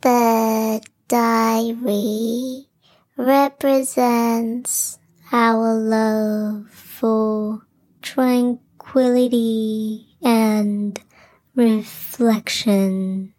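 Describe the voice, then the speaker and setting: A serene female sloth bear from a children's bedtime story, acted by a woman narrator. She speaks extremely slowly, stretching each word in a level, slightly high voice. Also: little pitch movement, a little mellow. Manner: drawn-out, very slow speech.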